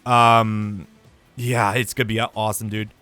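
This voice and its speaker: A young man with a tired, raspy voice, talking casually and rambling to his gaming channel's viewers, with lots of fillers and some swearing. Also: on the low side, level tone, unhurried, pushed and bright, faint music behind. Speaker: gaming YouTuber. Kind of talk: casual year-review vlog.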